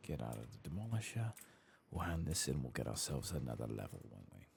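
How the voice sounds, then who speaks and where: A soft-spoken British man murmuring ASMR-style game commentary, sometimes dropping towards a whisper. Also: rumbling low, very animated, a little fast, gravelly, slight background noise. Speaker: host of gaming playthrough.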